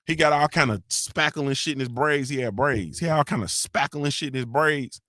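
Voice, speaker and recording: A man hosting a casual morning show, spinning stories full of slang and profanity. He keeps tagging his lines with questions to the listener. His voice sits at a middle pitch and the sound is dry and close. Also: normal speed, a bit bright, no room sound. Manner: loose storytelling with heavy profanity and slang; frequent filler tags like "you feel me". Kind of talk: casual live-stream chat reading comments.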